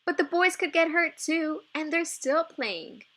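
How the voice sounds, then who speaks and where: A woman's voice: extremely high pitch, expressive, a little slow, a bit bright, pitched above the performer's own voice, exaggerated voice. A woman voicing an outspoken, spirited seventh-grade girl in a children's storybook. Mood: exasperated. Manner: emphatic, outspoken.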